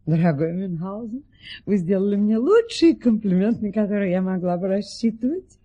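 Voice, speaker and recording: An actress playing a poised aristocratic duchess, telling tall tales in a stately, genteel manner in an audio play. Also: female voice, medium pitch, animated, normal speed, dark tone, husky, highs cut off. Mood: genteel, composed.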